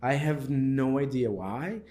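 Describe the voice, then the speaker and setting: A man's voice: mid-range voice, slow, a little hiss or hum. A man from Moldova who owns a coffee shop, talking casually in an interview and acting out the people he quotes. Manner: animated, acts out quoted people.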